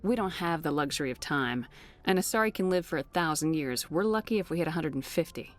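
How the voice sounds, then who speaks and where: Low-voiced, resolute female starship commander. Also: lively intonation. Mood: questioning. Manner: firm, measured, to the point. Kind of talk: video game voice acting.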